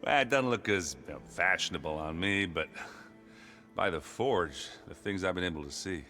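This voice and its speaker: A big-hearted, gruff warrior in a cinematic game scene. His voice is very low. He talks bluntly and folksily, rambling into self-deprecating jokes with a natural, unpolished delivery. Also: expressive, normal speed, pushed and bright, soft background music. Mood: sheepish, earnest. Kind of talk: naturalistic video game cutscene acting.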